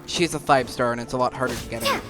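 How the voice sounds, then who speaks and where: Fairly high-voiced man commentating over game music. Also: steady tone, quick pace. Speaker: gaming video host. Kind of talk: casual game playthrough commentary.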